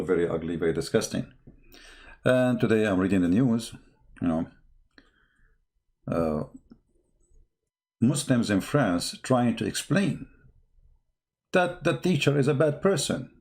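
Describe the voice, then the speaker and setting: A man's voice: fairly low pitch, a little mellow. A man who speaks English as a second language, giving impassioned off-the-cuff news commentary in an emphatic, repetitive way full of rhetorical questions. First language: not English.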